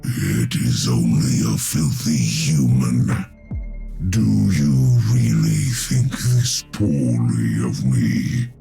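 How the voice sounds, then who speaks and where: An actor playing an arrogant, menacing male dark elf incubus warrior in a video game, with a deep, gravelly voice. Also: sing-song, very slow, exaggerated voice. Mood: contemptuous. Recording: game audio with faint music behind.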